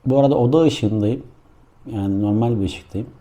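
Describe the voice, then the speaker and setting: A man with a very dark, muffled, mellow voice at a medium pitch talks through a gadget review. He explains each step informally, making it up as he goes. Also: moderate pace. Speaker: product reviewer. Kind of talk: casual hands-on gadget review. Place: indoor room.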